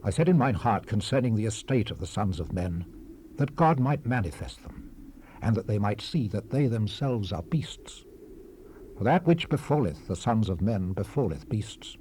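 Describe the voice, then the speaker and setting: An actor playing a weary, brooding ancient preacher in a dramatized Bible reading, solemn, measured and declamatory, recorded on a hissy old cassette. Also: male voice, lowish voice, lively intonation, warm tone, lightly acted. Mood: grave, reflective. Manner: solemn, measured, declamatory, with falling phrase endings. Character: weary, brooding old-world preacher reflecting on life's vanity. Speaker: scripture narrator. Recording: hissy 1970s cassette rip.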